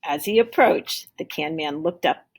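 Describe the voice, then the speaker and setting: A woman reads a children's story aloud in a low voice with a gravelly, husky texture. Her storytelling is deliberate and emphatic, as a teacher reads to a class. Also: animated. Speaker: teacher reading a picture book aloud. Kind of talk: expressive storybook read-aloud.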